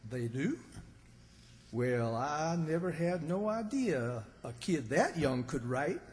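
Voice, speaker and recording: A man's voice: a little high, very animated, a little mellow. A man playing a dim, gullible, good-natured country bumpkin in old-time radio comedy style. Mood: earnest, clueless. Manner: folksy, slow-witted, earnest. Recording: reverberant hall stage recording.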